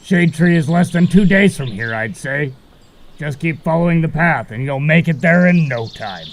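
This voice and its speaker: A man playing a friendly, laid-back guide in an amateur audio drama, gravelly and casual. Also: a little high, expressive, normal speed, slight background noise.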